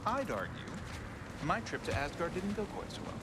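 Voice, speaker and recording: A carefree, brash warrior brother from a video game. His voice is fairly high and swings up and down as he teases. Loud background noise sits under him. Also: big pitch swings, normal speed. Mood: teasing.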